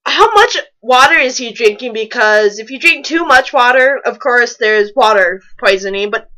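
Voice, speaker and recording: A woman's voice: a little high, animated, normal speed, pushed and bright, low-quality recording. A woman reading a story aloud and commenting on it with wry, nitpicking asides. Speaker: fan fiction reader and commentator.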